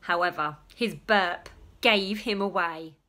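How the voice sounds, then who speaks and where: A woman reading a children's story aloud with dramatic, emphatic storytelling and drawn-out words. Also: on the low side, bright, forceful tone. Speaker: storybook reader. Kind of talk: expressive children's story narration.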